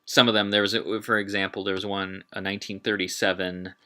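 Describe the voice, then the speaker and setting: A man giving an informal history lecture in a halting way, with emphatic, dramatic delivery when quoting. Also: low-pitched, little pitch movement, pushed and bright. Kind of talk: informal illustrated public lecture. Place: talk given to a live group.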